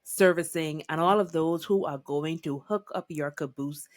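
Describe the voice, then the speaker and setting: A woman from the Bahamas hosts a podcast in an effusive, encouraging way. Her voice sits low, and the sound is dry and close. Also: moderate pace, dry recording. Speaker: podcast host. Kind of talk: casual interview chat.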